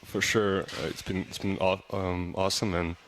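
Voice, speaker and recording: A young man with a low, deep voice answers interview questions in English, his native tongue being Serbian. He talks in a loose, easygoing way, full of "um" and "like," and often restarts his sentences. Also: normal speed, slight background noise. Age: young adult. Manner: relaxed, filler-heavy, frequent restarts. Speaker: college basketball player. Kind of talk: casual interview.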